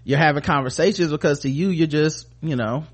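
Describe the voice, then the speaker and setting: A man's voice: on the high side, fairly quick, husky. Man podcasting in loose, profane slang. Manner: informal slang, profane, rambling. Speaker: podcast host. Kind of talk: casual conversational commentary.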